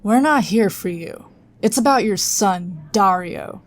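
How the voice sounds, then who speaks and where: A woman's voice: medium pitch, expressive, a little slow, dry recording, natural voice. A woman voicing a blunt, confident psionic agent. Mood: direct. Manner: direct, dry, self-assured. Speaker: character in audio drama.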